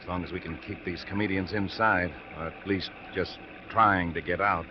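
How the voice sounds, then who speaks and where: Man playing tough american cop, low voice, gruff, all business, old radio drama w noisy muffled sound. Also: steady tone, moderate pace, natural voice. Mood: steady, businesslike. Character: tough, steady male police lieutenant. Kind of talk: 1950s radio drama acting. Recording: muffled vintage radio, noisy background ambience.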